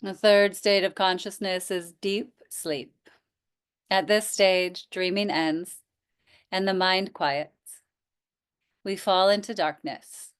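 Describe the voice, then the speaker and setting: A woman teaching yoga nidra, guiding relaxation in a gentle, inviting manner with pauses. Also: mid-range voice, fairly even intonation, smooth. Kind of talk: guided relaxation instruction.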